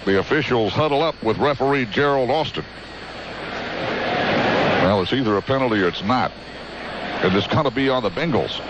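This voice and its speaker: A man with an American accent calling live football play-by-play on the radio, with animated, descriptive calls. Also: mid-range voice, normal speed, pauses often. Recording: loud stadium noise behind. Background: loud stadium ambience.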